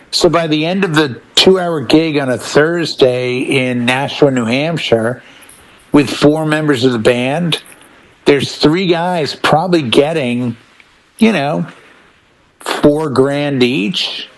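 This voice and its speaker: A man's voice: medium pitch, slow pace, rough recording. A middle-aged American man in a casual panel chat, telling stories as a DJ and acting out bits of dialogue. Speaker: DJ and podcast panelist.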